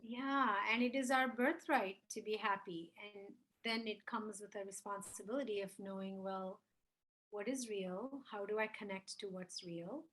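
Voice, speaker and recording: A woman's voice: medium pitch, steady tone, moderate pace, fairly bright tone, rough recording. A woman in her fifties from India, a spiritual mentor, talking as an interview guest in a reflective, earnest way. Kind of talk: interview conversation.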